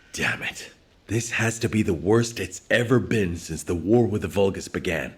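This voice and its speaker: An actor playing a serious, weary executive officer in a video game, speaking with terse authority. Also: male voice, fairly low pitch, a little hiss or hum, natural voice. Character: grave, weary military executive officer under pressure. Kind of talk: video game dramatic acting.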